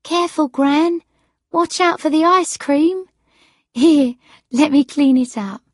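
A caring granddaughter from a storybook, put on by a woman narrator. She speaks in a high, lifted voice with lots of movement. Her manner is reassuring and explanatory, recorded close and dry. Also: animated, slow pace, dark tone, dry recording, exaggerated voice. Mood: fussing, careful.

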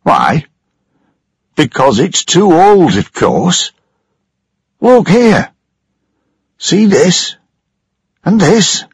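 Man doing a ship's engineer, high, strained, exasperated, husky, lively pitch. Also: drawn-out words, long pauses, dry recording, studio quality, exaggerated voice. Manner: exasperated, complaining. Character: tired, frightened male ship's engineer.

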